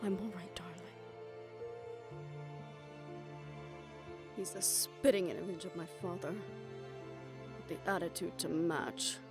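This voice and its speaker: An actress playing a composed, authoritative aunt and family elder in an audio roleplay drama, speaking in a measured, formal, stern way. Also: female voice, lowish voice, steady tone, music in the background, natural voice. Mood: weary regret.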